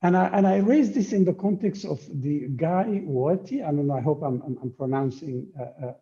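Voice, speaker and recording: A man with a very dark, mellow voice, pitched a little high, speaks off the cuff in a panel discussion. He halts, repeats words and searches for the next one. The recording is dull and muffled, like an online call. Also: normal speed. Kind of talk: informal, unscripted panel contribution.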